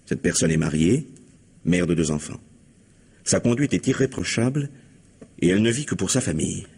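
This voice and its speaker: An actor playing a shrewd, composed police commissioner in an old French radio mystery, husky and methodical in his phrasing. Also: low voice, lively intonation, normal speed, a little mellow, full character voice. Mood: explanatory.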